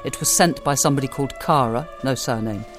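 A man hosting a book club program, introducing questions and readings in a brisk presenter's way. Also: mid-range voice, music in the background. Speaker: radio book club host. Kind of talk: presenting and reading listener emails.

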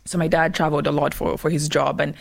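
A woman's voice: deep voice, level tone, quick pace, creaky. Young woman from zambia on a radio show, chatty, laughs a lot, quotes herself. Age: 20s. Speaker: radio guest. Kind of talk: casual interview chat.

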